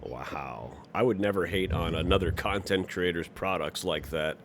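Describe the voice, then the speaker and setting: A man with a low voice hosts a casual livestream. He banters crudely and swears freely as he talks to co-hosts and viewers, with faint noise behind him. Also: normal speed. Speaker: livestream host.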